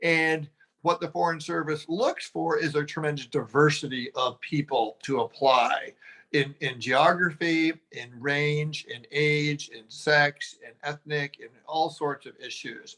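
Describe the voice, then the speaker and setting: A man speaking on a webinar panel about careers in diplomacy, halting and often restarting his sentences. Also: fairly high pitch, fairly bright tone.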